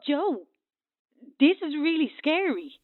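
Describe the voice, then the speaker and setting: An actress playing a concerned, dryly teasing friend, heard through a telephone filter in an audio horror drama. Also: female voice, high voice, big pitch swings, slow pace, full character voice. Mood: alarmed. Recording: tinny, noisy phone line.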